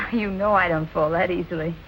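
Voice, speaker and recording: An actress playing a stubborn, determined young amateur sleuth, brisk and self-possessed, in 1940s film noir style. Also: female voice, lowish voice, fairly even intonation, fairly bright tone, lightly acted. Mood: musing. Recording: noisy old film soundtrack.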